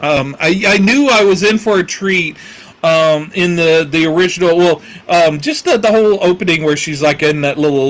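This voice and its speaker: Podcast guy rambling about a movie, lots of ums, kinda high voice. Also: a bit bright, low-quality recording. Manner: rambling, many fillers and restarts, laughs often. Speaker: podcast co-host. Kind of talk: casual film discussion.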